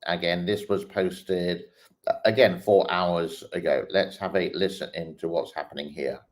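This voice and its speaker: A man hosting his channel, talking through social media clips in a slow, hesitant way with many pauses and fillers. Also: deep voice, steady tone. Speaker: host reacting to online clips. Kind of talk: casual commentary while scrolling a feed.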